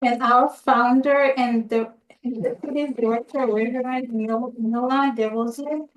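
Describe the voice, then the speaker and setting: A woman's voice: fairly high pitch, steady tone, rough recording. A young woman hosting a youth podcast, reading scripted lines with frequent stumbles and restarts. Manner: halting reading with stumbles over words. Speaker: youth leader host.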